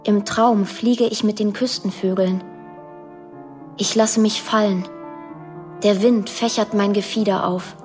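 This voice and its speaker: A woman playing a lonely, dreamy twelve-year-old girl in a quiet, poetic radio drama monologue. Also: medium pitch, close to an everyday voice.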